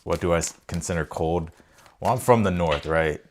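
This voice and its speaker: A man's voice: low voice, normal speed, a little hiss or hum. An American man hosting a tarot livestream, chatting informally and rambling, often repeating his phrases. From United States, grew up in Ohio and Texas. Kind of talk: casual livestream chat and card reading.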